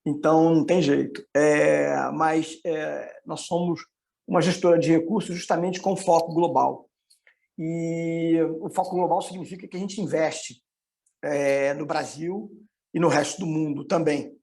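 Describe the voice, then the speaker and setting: Middle-aged Brazilian man, Carioca accent, economist presenter. Slightly high pitch with marked creak, conversational and explanatory. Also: fairly even intonation, measured pace, darkish tone. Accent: Carioca (Rio de Janeiro) Brazilian. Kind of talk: informal online presentation with slides.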